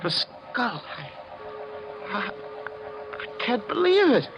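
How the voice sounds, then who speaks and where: An actor playing a skeptical, impatient master of the house who dismisses fears yet falters, in 1950s radio horror. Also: male voice, high-pitched, huge pitch swings, slow, over music, heavily acted. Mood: stunned. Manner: dismissive, insistent, faltering under strain. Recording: muffled, hissy vintage radio recording.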